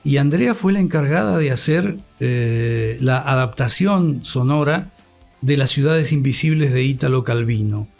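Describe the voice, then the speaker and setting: An older man with an Argentine accent giving a reflective interview, articulate, with thoughtful halting pauses. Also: medium pitch, expressive, vocal fry, a little mellow, soft background music. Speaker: interview guest, radio academic.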